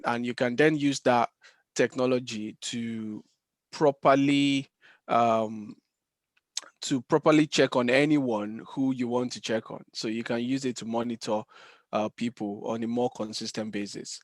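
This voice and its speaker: A male podcast host with a mid-range pitch. The sound is dry, with no room echo. He talks conversationally, haltingly working through long, rambling thoughts. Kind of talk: conversational interview questioning.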